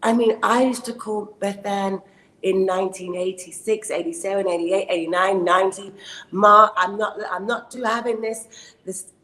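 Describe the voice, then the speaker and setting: A woman in her fifties hosting an interview, effusive and animated. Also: mid-range voice, normal speed, low-quality recording. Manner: effusive and animated, full of praise. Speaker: host. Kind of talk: casual interview chat.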